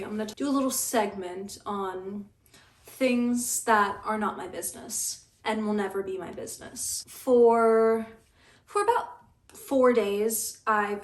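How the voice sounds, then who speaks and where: A young woman in her twenties talking in a casual vlog, dry and deadpan, griping with stammered restarts. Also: mid-range voice. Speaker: vlogger. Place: apartment room. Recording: echoey room, mic at a distance.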